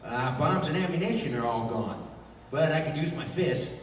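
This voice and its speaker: A man playing a gruff, belligerent brawler in a 1930s radio adventure, loud and defiant. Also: a little high, lively intonation, normal speed, natural voice. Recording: echoey hall, distant, faint noise.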